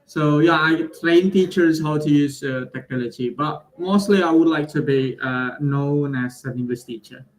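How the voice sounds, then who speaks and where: A man's voice: a little high, moderate pace, hardly any pauses, poor audio. A man from Indonesia, a guest English teacher answering politely with many fillers. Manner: polite, lots of fillers and agreeing murmurs. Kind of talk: interview answers.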